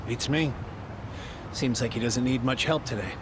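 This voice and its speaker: An actor playing a young, evasive go-between in a video game cutscene, curt and noncommittal yet deferential. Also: male voice, medium pitch, normal speed, background noise, lightly acted. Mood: guarded.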